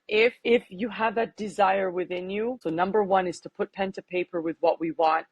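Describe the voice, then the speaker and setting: A woman's voice: fairly low pitch, husky, no echo. A middle-aged woman from Canada with a mixed accent, a life coach speaking enthusiastically as an interview guest. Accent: mixed, Canadian with hints of Armenian and British. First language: Armenian. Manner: enthusiastic, motivational, with frequent laughs. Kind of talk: interview conversation.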